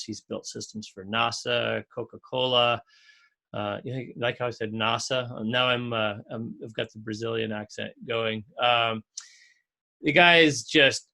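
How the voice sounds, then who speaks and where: A man's voice: medium pitch, vocal fry, sharp tone. A man working as a home contractor, answering interview questions in an earnest, enthusiastic storytelling way.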